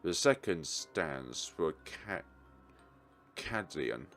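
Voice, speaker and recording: An ominous hooded man acted out by a male game reader speaks in slow, portentous phrases. The voice is very low and creaky, solemn and cryptic like a dark prophet. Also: very animated, faint music behind, exaggerated voice. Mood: ominous, grand.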